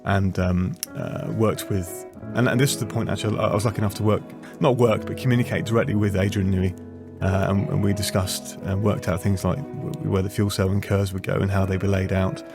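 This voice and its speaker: A man's voice: sits low, little pitch movement, fairly quick, gravelly, few pauses, music in the background. A man, a guest engineer, talking casually in a rambling, self-correcting way with frequent restarts and easy laughs. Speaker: guest, former F1 designer. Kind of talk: casual interview chat.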